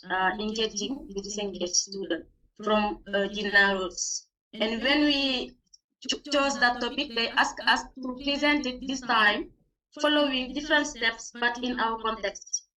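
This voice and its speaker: A woman with a Senegalese accent speaks English as a second language in a bright, forceful, fairly high voice. She presents haltingly, repeating words as she goes, and sounds a little away from her online meeting mic. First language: not English. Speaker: English teacher, conference panellist. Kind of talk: informal spoken presentation of own experience. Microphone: video call. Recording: distant online meeting microphone.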